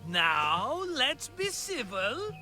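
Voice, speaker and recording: A man's voice: very high-pitched, wildly swooping pitch, drawn-out words, pushed and bright, soft background music, full character voice. A man playing a roguish, flustered schemer in an ancient Greek video game, wheedling and theatrical as he blusters along. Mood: nervous, placating. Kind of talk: video game character acting.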